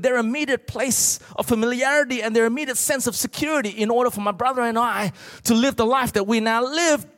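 A man's voice: very high-pitched, a bit bright. A middle-aged man with an Australian accent preaching a sermon with comic storytelling, emphatic and full of rhetorical questions to his congregation. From Australia, born in Malaysia. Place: large church auditorium, speaking to a congregation. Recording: large reverberant hall.

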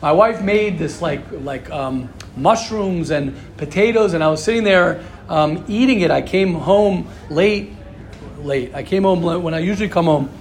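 A man's voice: on the high side, normal speed. A married man giving an informal religious talk as a Torah teacher, earnest and rambling, repeating phrases and adding asides. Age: adult, married with kids.

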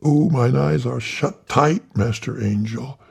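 A man voicing a gullible, pious little male reverend who pleads in a high, breathless voice. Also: takes it slowly, vocal fry, darkish tone, husky, heavily acted. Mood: eager. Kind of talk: storytelling.

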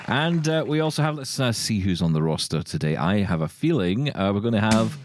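A man's voice: lowish voice, sing-song, fairly quick, a little hiss or hum. A Scottish man hosting a podcast with casual, jokey banter and teasing. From Scotland. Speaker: podcast host.

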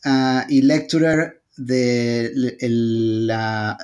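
A man hosting a podcast interview in a relaxed way, with occasional hesitations. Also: medium pitch, drawn-out words. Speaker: podcast host and sociologist. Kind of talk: conversational interview.

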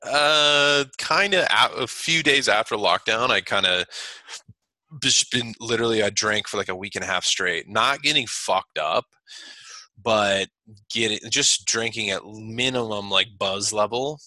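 A man's voice: fairly low pitch, measured pace, pushed and bright, dry recording. Lage, heldere man in een droog gesprek. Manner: loose, profane, laughing. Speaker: podcast co-host. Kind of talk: casual chat. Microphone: video call. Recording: dry call audio.

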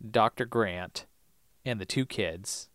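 Man with a clear, dry podcast voice. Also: fairly low pitch, slow, a bit bright, no room sound. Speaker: podcast co-host. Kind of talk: casual chat, recapping TV episodes.

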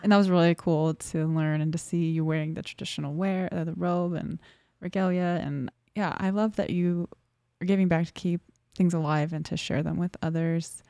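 Female interviewer, low and a bit gravelly, asks long trailing questions. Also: steady tone, moderate pace, hardly any pauses, dry recording, clean studio sound. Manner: long rambling questions, soft trailing endings. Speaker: podcast host interviewer. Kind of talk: warm conversational interviewing.

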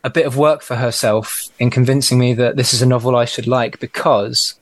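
A man's voice: medium pitch, fairly even intonation, a little fast, no echo. A man speaking as a podcast guest over a remote call, thoughtful and hesitant, often restarting his sentences. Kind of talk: casual podcast conversation.

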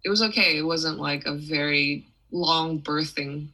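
A woman's voice: low-pitched, steady tone, measured pace, bright, forceful tone. A young adult woman from Taiwan, a musician and interview guest, talking casually with many restarts and fillers.

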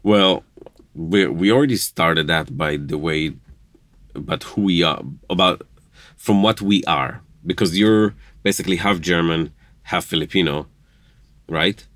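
A man's voice: low-pitched, measured pace, a bit bright, dry recording. An Israeli man talking earnestly on a podcast, in slightly broken English, often laughing. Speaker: podcast guest. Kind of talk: casual conversation.

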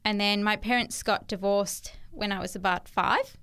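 A young woman from South Africa with a South African accent, a guest telling her story in an interview with thoughtful pauses. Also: medium pitch, steady tone, fairly bright tone, clean tone. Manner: thoughtful, hesitant with fillers. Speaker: guest, ministry worker. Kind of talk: conversational interview.

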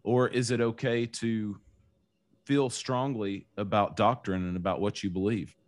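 A man hosting a casual interview, relaxed and slangy, asking questions. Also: fairly low pitch, unhurried. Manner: laid-back and slangy. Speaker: podcast host.

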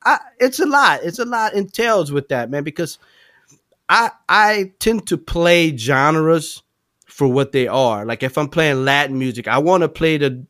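New drummer, bright, dry sound. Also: male voice, on the high side, animated, a little slow, dry recording. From New Orleans. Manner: loose, reflective, drifts between loud and soft. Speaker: drummer guest. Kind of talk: casual interview chat.

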